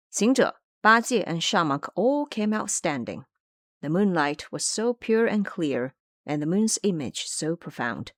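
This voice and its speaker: A woman reads scripted audio drama narration in a fairly low voice. Her delivery is dramatic and expressive, with wide pitch movement. The recording is very dry and close. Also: no room sound.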